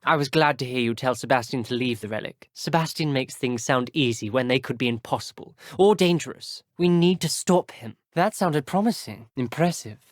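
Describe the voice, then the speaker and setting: A man's voice: mid-range voice, moderate pace, few pauses, no echo, studio quality, lightly acted. A young man with a British accent voicing an earnest, guarded teenage wizard schoolboy in a video game, speaking in clipped, formal phrases. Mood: reluctant, resigned. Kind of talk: video game character dialogue.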